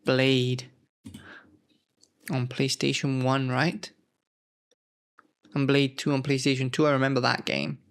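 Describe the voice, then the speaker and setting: Gravelly-voiced man musing over old magazines. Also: medium pitch, little pitch movement, slow pace, pauses often. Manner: rambling, self-correcting, thinks aloud. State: unwell. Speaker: gaming channel host. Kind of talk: casual nostalgic commentary.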